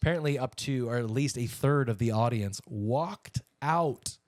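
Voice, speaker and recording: A man with a mid-range voice talks as a podcast co-host. His delivery is informal and chatty, like relaxed banter over the news. The sound is dry, with very little room in it. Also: mid-range voice, moderate pace, no echo. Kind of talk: casual conversational news banter.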